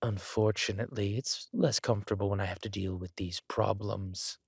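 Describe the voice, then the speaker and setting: A man playing a menacing, weary villain king in an audio drama, speaking with quiet, measured, dry-witted menace. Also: fairly low pitch, steady tone, gravelly, breathy edge, no echo. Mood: dryly restrained.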